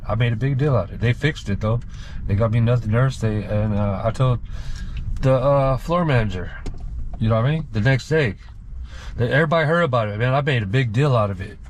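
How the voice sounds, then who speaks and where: A man's voice: medium pitch, brisk. A man riding as a passenger, telling a personal story in a casual, colloquial and emphatic way, often repeating himself. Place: inside a moving car. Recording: clear car cabin road noise.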